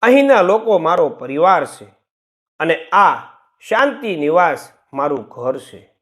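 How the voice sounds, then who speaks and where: Man doing elderly storybook father, firm, reproachful, lively rise and fall, voice on the higher side. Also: slow pace, full character voice. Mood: hurt, firm.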